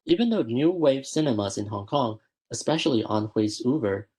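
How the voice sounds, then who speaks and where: A young man presenting a prepared academic paper at a student research school, reading in a measured way and often correcting himself mid-word. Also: mid-range voice, lively intonation, darkish tone, husky. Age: young adult. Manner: measured, with frequent self-corrections.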